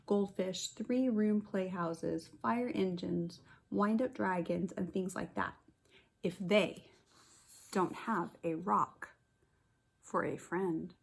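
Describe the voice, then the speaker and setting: A woman kindergarten teacher reading a picture book aloud, with expressive stressed words and chatty asides to the children. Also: mid-range voice, vocal fry, husky.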